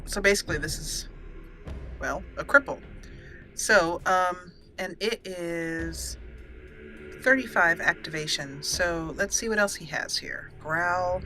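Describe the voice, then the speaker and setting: A woman's voice: on the low side, takes it slowly, bright, forceful tone, faint music behind. A woman giving casual let's play commentary, chatty and giggly, explaining things step by step as she plays. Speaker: gaming channel host.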